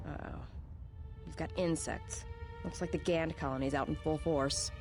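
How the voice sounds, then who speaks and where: A woman's voice: deep voice, fairly even intonation, moderate pace. An actress playing a tough, wary female bounty hunter in a sci-fi video game, blunt and no-nonsense. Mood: wary, urgent. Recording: music behind the voice.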